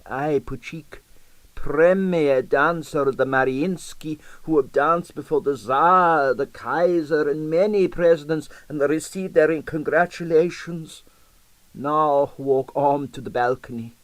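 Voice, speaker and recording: A man voicing a vain, excitable old Russian ballet dancer in an audiobook, boastful and plaintive in accented broken English. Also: fairly high pitch, takes it slowly, no echo, voice pushed up. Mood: wounded pride.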